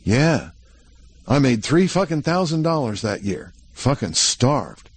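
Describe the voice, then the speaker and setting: A man reading an audiobook, playing a restless young cowboy who talks fast, rough and excited, with plenty of swearing. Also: mid-range voice, pitched above the performer's own voice, full character voice. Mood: boastful. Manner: rough rural talk, swearing, quick and excited. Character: restless young male cowboy, eager and boastful.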